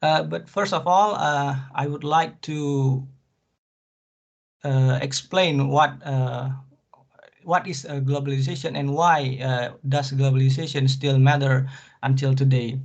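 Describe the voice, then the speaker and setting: A man's voice: medium pitch, fairly even intonation, measured pace, vocal fry. A young man with an Indonesian accent, a master's student giving an academic presentation carefully with frequent fillers. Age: young adult. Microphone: video call. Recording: muffled video call audio.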